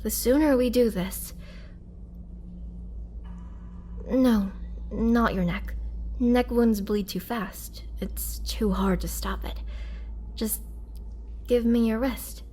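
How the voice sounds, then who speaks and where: Woman playing young female vampire, tender yet bloodthirsty, highish voice, unhurried pace, intimate whispery delivery, girlfriend roleplay drama. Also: fairly even intonation, warm tone, a little hiss or hum. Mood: hesitant, gentle.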